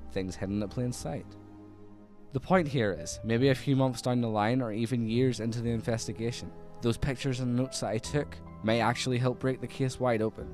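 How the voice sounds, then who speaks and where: A man's voice: mid-range voice, close to an everyday voice. Formal, exasperated detective inspector, acted by a man. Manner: formal, clipped, no contractions. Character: stern, precise, exasperated professional detective inspector. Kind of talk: amateur radio drama acting.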